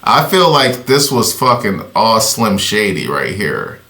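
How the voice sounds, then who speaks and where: A man's voice: fairly low pitch, very animated, a little slow, bright, forceful tone. A man hosting a music reaction, talking casually and profanely, rambling with fillers. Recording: echoey room.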